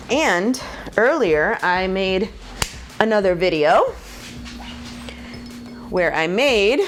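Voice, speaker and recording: Chatty, lively woman cooking at home. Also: fairly low pitch, slight background noise. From Puerto Rican and Mexican heritage. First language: Spanish. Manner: chatty, playful, laughs often, talks to viewers. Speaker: cooking vlogger. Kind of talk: casual cooking demo to camera. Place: home kitchen indoors.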